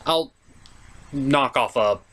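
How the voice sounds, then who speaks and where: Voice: medium pitch, takes it slowly, a bit bright. A comedic host reviewing cartoons from a script in a theatrical, sarcastic, exclamatory way.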